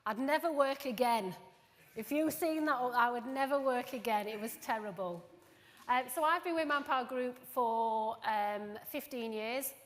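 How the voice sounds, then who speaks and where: A woman's voice: a little high, moderate pace. A woman in her forties with a Yorkshire accent, giving a jokey, self-deprecating conference talk full of asides and fillers. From Doncaster, England. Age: 40s. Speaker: conference speaker. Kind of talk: chatty, jokey presentation. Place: conference hall. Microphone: handheld or lapel mic. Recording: long hall reverb.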